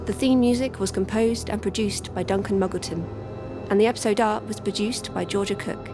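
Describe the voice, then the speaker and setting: A woman's voice: mid-range voice, steady tone, brisk. Woman reading credits over music. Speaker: credits announcer. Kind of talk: scripted podcast end credits over music.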